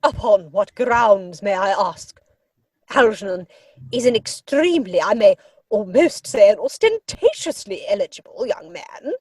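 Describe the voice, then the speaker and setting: An imperious older aristocratic aunt in a comic play reading. Her pitch swings widely through pompous, deliberate phrases. The voice is husky, heard dry and close. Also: sing-song, darkish tone, no room sound. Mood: indignant, grand. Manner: pompous, drawn-out, deliberate delivery.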